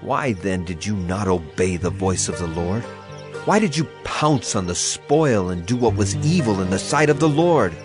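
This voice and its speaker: An actor playing a stern old prophet in a dramatized scripture reading, grave and declamatory. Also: male voice, lowish voice, animated, moderate pace, music in the background, lightly acted. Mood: stern, reproachful. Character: stern old male Hebrew prophet rebuking a king.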